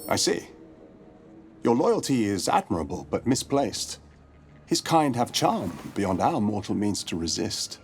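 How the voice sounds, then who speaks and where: Wandering monster hunter for a fantasy game: low voice, expressive intonation, grave, courteous, ominous. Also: male voice, slight background noise. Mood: solemn, menacing. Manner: measured, formal, ominous. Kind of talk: dramatic video game dialogue acting.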